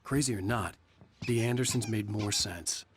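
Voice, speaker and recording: Man acting brooding, low-voiced troubled writer. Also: a little hiss or hum, close to an everyday voice. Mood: uneasy. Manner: grave, measured. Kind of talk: brooding scripted first-person game narration.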